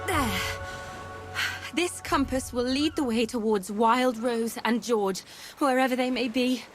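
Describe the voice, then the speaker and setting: An anxious young mystic, played by a woman, pleads earnestly in a high voice. Worry makes her words tremble. Clear music plays under her. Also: a little slow, natural voice. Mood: pleading. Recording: game cutscene with music.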